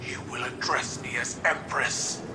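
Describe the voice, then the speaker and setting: A woman's voice: fairly even intonation, a little slow. A woman playing a proud alien warrior empress burdened by shame, speaking formal, declamatory video game dialogue. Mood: proud, bitter. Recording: roomy echo, noisy rumbling ambience.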